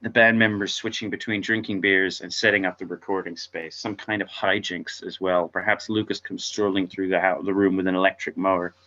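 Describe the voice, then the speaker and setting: Male narrator, lowish forceful voice, stage directions, script read-through, dull lo-fi audio. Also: little pitch movement, fairly quick, few pauses.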